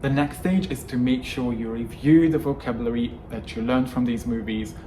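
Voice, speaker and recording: A man's voice: medium pitch, fairly even intonation, moderate pace, warm tone, slight background noise. A man whose first language is Hungarian, talking as an English coach in a scripted video lesson, emphatic and stressing words in lists. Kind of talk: scripted YouTube lesson monologue.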